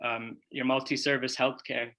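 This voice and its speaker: A man's voice: medium pitch, level tone, moderate pace, pushed and bright. A man hosting a casual interview podcast, friendly, stumbling a little with fillers as he asks questions. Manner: friendly, with many fillers and restarts when asking. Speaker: podcast host.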